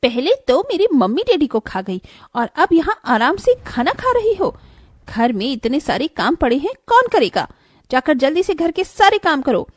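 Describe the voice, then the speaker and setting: Woman playing a spiteful high-pitched bossy stepsister. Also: a little mellow, no echo, studio quality. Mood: angry, bitter. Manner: sneering, scolding, imperious. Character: spiteful, jealous, bossy young elder stepsister. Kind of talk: cartoon bedtime story voice acting.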